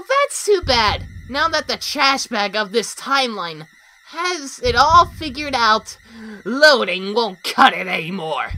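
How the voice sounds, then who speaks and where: A woman's voice: a little high, bright, forceful tone, slight background noise, exaggerated voice, poor audio. A woman voicing a mocking, malicious talking flower in a comic dub, with a taunting, theatrical delivery. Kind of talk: animated comic dub acting.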